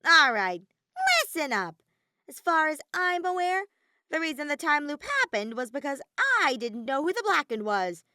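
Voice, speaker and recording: High, cartoonish mischievous bear mascot. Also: female voice, sing-song, normal speed, noisy background. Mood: eager, exasperated. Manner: matter-of-fact, theatrical, grumbling. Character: mischievous robotic bear mascot villain, flippant and exasperated. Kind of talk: dramatic character reading in an audio fiction.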